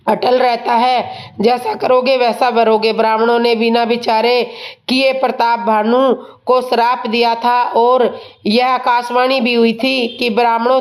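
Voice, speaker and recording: A woman with a sore, hoarse throat telling a devotional story in a slow, humble way. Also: fairly high pitch. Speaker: storyteller of religious tales.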